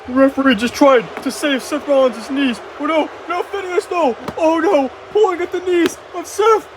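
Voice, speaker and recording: A performer playing an excitable, anxious wrestling commentator, giving play-by-play on a noisy home-made recording. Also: high voice, close to an everyday voice. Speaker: wrestling commentator and ring announcer.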